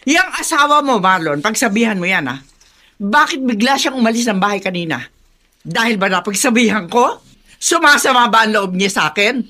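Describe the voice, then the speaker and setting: Bossy old filipino mom in a radio drama, scolding, high sharp voice. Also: female voice, lively intonation, normal speed. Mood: scolding, self-justifying. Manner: scolding, insistent. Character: domineering, reproachful elderly mother-in-law, nagging her grown son.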